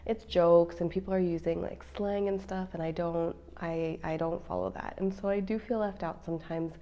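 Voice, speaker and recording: A woman's voice: fairly low pitch, fairly even intonation, normal speed, mellow, dull audio. An American woman in her thirties, a mom vlogging in a chatty, playful way straight to her viewers. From United States. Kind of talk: casual chat to camera.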